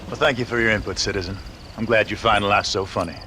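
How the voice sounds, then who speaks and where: A man's voice: fairly low pitch, steady tone, fast, noisy background, close to an everyday voice. An actor playing a stern, weary lawman in a frontier video game, plain-spoken, humourless and reproachful. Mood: sour, reproachful. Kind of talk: dramatic video game acting.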